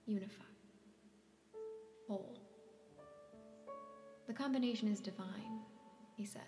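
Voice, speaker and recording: A woman playing an intense, searching woman in a stage drama, often breathy and near a whisper, in an echoey space. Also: medium pitch, slow, music in the background, lightly acted. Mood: intimate, wistful. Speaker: actor in a stage play reading. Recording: echoey hall reverb.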